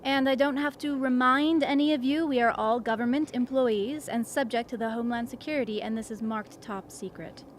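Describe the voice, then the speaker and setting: An actress as an authoritative expedition commander, composed and in charge. Her voice is slightly high, in radio play style, with faint noise behind. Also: brisk, few pauses, close to an everyday voice. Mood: measured. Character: composed, authoritative female commander of Antarctic survey. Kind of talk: radio drama acting.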